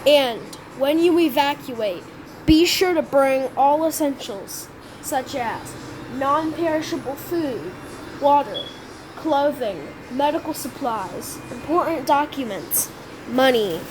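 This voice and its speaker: A woman's voice: sits high, animated, slow pace. A woman voicing an emergency alert announcer, reading out an improvised warning with frequent restarts and self-corrections over noisy game audio. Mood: urgent.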